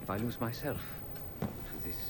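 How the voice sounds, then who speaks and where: An actor playing an ashamed, brooding male operative in a video game, speaking quietly, haltingly and formally. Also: lowish voice, very noisy, lightly acted. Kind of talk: dramatic video game acting.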